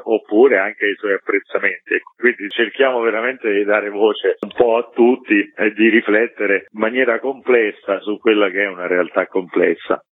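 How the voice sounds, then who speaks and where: A man's voice: on the low side, no echo. A man, a festival's artistic director, explaining his program in a radio interview over the phone. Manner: explanatory, measured. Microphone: phone call. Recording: tinny phone line, dry.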